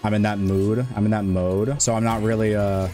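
A man in his twenties streaming a game, talking in a rambling, monotone way with many restarts. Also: lowish voice, normal speed, soft background music. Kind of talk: casual stream chat.

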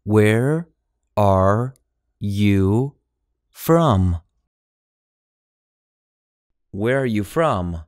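Slow, deliberate American man drilling phrases. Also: lowish voice, lively intonation, a little mellow, no room sound, studio quality. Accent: General American. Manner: repeats each phrase normal, then word by word, then normal. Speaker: English teacher. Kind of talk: slow language-drill reading.

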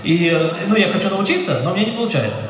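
Young guy comic roasting the front row, swears nonstop, high voice, sounds far off in a big echoey theater. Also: very animated, racing. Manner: blunt, mocking, swears a lot. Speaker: stand-up comedian. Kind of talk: crowd work in a stand-up set. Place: large dark theater stage. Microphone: handheld mic, filmed from far. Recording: echoey hall, distant, loud crowd. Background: audience laughter and noise.

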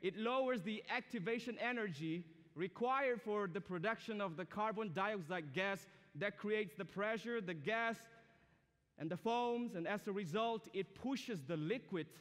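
A man's voice: high voice, fairly bright tone. A young man from Myanmar, an English speaker, preaching a sermon to students in a polite, earnest, conversational way. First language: a language of Myanmar. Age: young adult. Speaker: guest preacher. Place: large indoor auditorium stage. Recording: reverberant hall.

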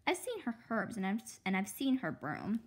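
An imaginative little girl played by a woman tells her story with anxious insistence. Her voice is a bit husky and swings in pitch for dramatic emphasis, in storybook read-aloud style. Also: very animated, moderate pace. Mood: confiding, suspicious. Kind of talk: children's book read-aloud.

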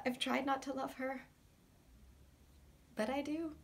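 A woman's voice: on the high side, slow pace, fairly bright tone. An actress playing a torn, lovesick woman in an intimate theatrical monologue, earnest, hesitant and vulnerable. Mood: pleading. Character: torn, lovesick woman, scared yet tender and confessional.

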